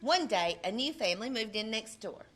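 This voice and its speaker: A woman, a librarian, reading a children's picture book aloud with expressive delivery. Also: fairly high pitch, moderate pace, faint music behind. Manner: expressive, puts on character voices in quotes. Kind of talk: storybook read-aloud.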